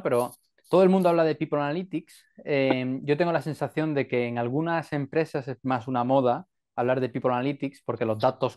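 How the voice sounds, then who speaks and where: Male interview host, mid-pitched voice, friendly, stumbles and repeats words. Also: a little mellow. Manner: friendly, stammers and repeats words, tags questions with "¿no?". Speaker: podcast host. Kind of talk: interview hosting.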